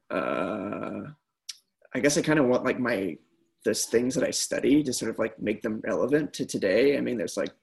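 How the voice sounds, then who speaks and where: A man's voice: lowish voice, gravelly, husky. A man, a graduate student, talking in a laid-back way full of fillers over a video call. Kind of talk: casual group chat.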